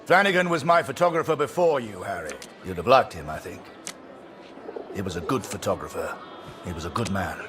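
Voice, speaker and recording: An actor playing a jovial army major in a video game. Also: male voice, fairly low pitch, lively intonation, brisk, noisy background, lightly acted. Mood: subdued, grieving. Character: jovial British army major, hiding grief. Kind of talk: dramatic video game dialogue.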